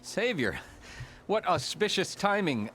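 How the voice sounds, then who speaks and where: An actor playing a formal, courtly magistrate who speaks stiffly and ceremoniously in a video game. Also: male voice, sits high, very animated, slow, fairly bright tone, slight background noise, full character voice.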